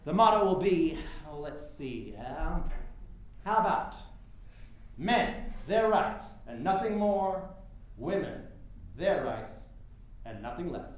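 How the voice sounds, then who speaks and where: A man's voice: high voice, big pitch swings, slow pace, long pauses, exaggerated voice. A man playing a rich, pompous political showman who woos and interrupts in grand oratory, in a staged play reading. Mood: boastful, expansive. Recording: very echoey hall, faint background noise.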